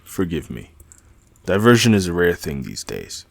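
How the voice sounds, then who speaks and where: Man acting a deep, gravelly learned mage. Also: big pitch swings, no room sound, heavily acted. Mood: guarded, reflective. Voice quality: gravelly, husky. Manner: measured, formal, deliberate. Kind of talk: fantasy audio roleplay acting.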